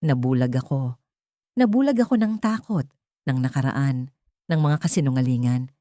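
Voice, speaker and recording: A woman's voice: extremely low pitch, expressive, moderate pace, warm tone, dry recording, studio quality. A woman voicing a desperate, remorseful wealthy man in love, pleading in short, emphatic phrases in an audiobook drama.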